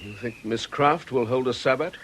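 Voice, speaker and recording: A man's voice: medium pitch, normal speed, noisy background, close to an everyday voice. A man playing an earnest, troubled vicar in a radio drama, with a British accent and a hesitant manner.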